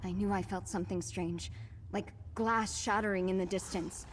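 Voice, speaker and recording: A woman plays a composed, gently teasing young sister in a fantasy game. Her phrasing is formal and measured, at an average pitch. A long reverb trails her voice, over slight noise. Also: steady tone, natural voice. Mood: curious, formal.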